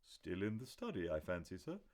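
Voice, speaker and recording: A man's voice: deep voice, wildly swooping pitch, brisk, darkish tone, full character voice. A man voicing a stiff, proper older butler who speaks with formal, deferential politeness.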